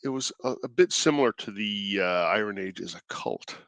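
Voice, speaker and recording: A man with a stuffed-up cold voice, hosting his own channel in casual, halting commentary, mild-mannered and thinking aloud. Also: lowish voice, animated, gravelly, a bit bright, dry recording. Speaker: indie creator and channel host.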